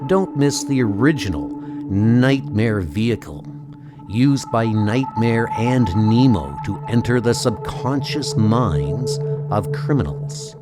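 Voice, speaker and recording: A man voicing a calm, grandiose alien museum guide in a comic book audio drama, speaking in a measured, formal way. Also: medium pitch. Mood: serene, stately. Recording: music under the voice. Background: music.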